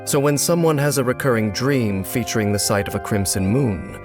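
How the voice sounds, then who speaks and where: A man playing a grave, enigmatic narrator in a fantasy game trailer, speaking in a formal, solemn and deliberate way over music. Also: medium pitch, close to an everyday voice. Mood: ominous. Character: grave male narrator of lore, measured, ominous, enigmatic.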